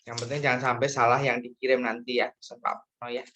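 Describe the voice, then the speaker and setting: A man's voice: medium pitch, little pitch movement, quick pace, pushed and bright, lo-fi sound, slight background noise. A man lecturing in an informal, chatty way, often checking in with questions during an online class discussion.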